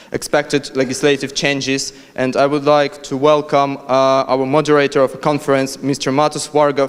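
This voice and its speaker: A man's voice: medium pitch, clean tone. A man announcing a conference panel, formally welcoming each speaker. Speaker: event announcer. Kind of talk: stage announcement. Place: large conference hall. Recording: hall reverb, faint music.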